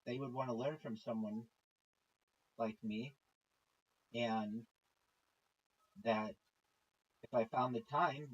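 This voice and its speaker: A man, a rabbi, talking casually and haltingly, with many pauses and fillers. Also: medium pitch, slightly rough, lo-fi sound. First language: not Hebrew. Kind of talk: casual chat while playing golf.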